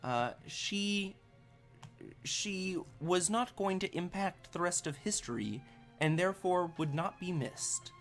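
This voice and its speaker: A man's voice: high voice, expressive, takes it slowly, faint music behind, pitched above the performer's own voice, full character voice. A man voicing an evasive, officious museum tour guide in a tabletop game, with formal, hesitant, stammering speech. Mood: proud, flustered.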